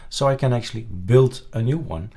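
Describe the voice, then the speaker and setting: A man presenting a casual screen-recorded software tutorial, haltingly thinking aloud as he goes. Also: mid-range voice.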